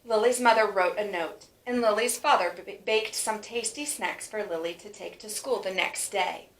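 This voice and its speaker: A woman reading a children's story aloud in an animated way, stretching words for emphasis. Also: medium pitch, moderate pace. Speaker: storybook reader. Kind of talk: expressive children's read-aloud. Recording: roomy, echoing sound.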